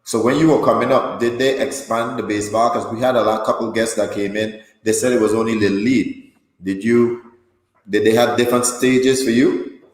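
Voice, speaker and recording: A low-voiced man hosts a casual interview, encouraging his guest and winding through his questions. The room rings with a long, echoing reverb. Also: fairly even intonation, fairly quick. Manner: encouraging, asks rambling questions. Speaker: podcast host.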